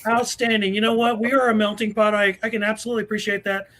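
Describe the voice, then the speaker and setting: A man's voice: high voice, flat delivery, fast, pushed and bright. A man hosting a live show, chatting casually and effusively as he introduces guests. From United States. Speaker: host of a preparedness show.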